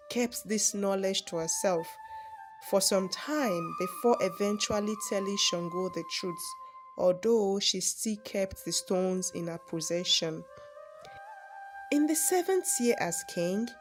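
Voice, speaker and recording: A woman's voice: medium pitch, darkish tone. A woman narrating a scripted folktale as a storyteller hosting for viewers. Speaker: storyteller and channel host.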